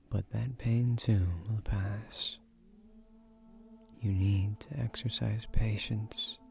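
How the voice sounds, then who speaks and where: Casting: male actor as millennia-old keeper of a magic tree, low gravelly voice, gentle, wry, formal, for fantasy audio roleplay. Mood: gentle, consoling. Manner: measured, gently formal, soft-spoken. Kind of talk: slow soothing fantasy audio roleplay, scripted.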